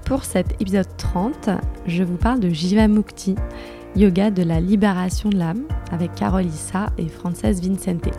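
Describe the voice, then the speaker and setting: Mellow-voiced woman hosting a podcast. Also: on the low side, moderate pace, faint music behind. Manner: gentle, slightly hesitant questioning. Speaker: podcast host, interviewer.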